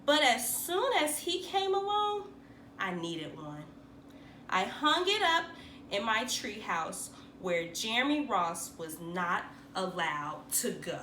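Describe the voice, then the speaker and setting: Female storybook reader, bright and very expressive, unhurried read-aloud for kids, recorded in a roomy space. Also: medium pitch. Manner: animated, dramatic emphasis for young listeners. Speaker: teacher reading aloud. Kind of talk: expressive children's picture book read-aloud. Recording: roomy echo, faint background noise.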